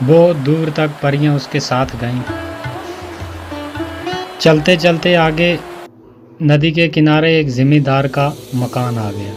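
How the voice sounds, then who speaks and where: A man tells a scripted moral story. His voice is pitched a bit higher than most men's. Music runs behind his narration. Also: slow pace, long pauses.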